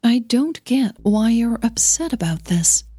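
A woman voicing a soft-spoken, gentle young female opera singer in an audio drama. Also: fairly high pitch, animated, a little slow, breathy edge, dry recording, clean studio sound, pitched above the performer's own voice. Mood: hurt, defensive.

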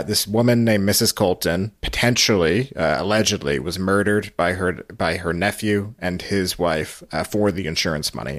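A man hosting a podcast, giving casual recap commentary in short, halting phrases. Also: low-pitched, dry recording, clean studio sound. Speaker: podcast host.

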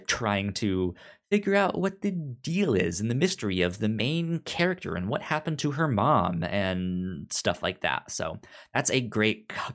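A man's voice: lowish voice, a bit bright. A man hosting a podcast in casual chat, stuttering as he repeats sounds and syllables. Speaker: podcast host.

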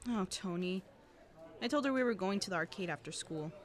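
A woman's voice: mid-range voice, animated, brisk, natural voice. A woman acting as an anxious, guarded teenage student in a fiction podcast, awkward and dryly evasive. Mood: exasperated. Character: anxious teenage student girl who records cassettes, guarded. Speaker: voice actor.

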